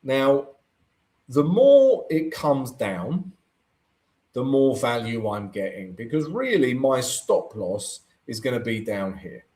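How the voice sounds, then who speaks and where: A man with a croaky voice hosting a live trading stream, chatting through his charts and answering viewer comments, often checking in with "right?". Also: medium pitch, very animated, takes it slowly, darkish tone. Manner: chatty, keeps asking "right?" to the audience.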